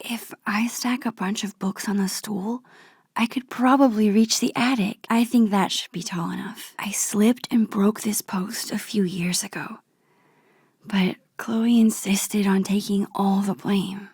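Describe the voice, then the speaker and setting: A woman playing a soft-spoken, wistful, nerdy young girl in a video game, musing to herself in a breathy, hushed, understated and dryly playful way. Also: medium pitch, no echo, clean studio sound. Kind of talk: video game character monologue.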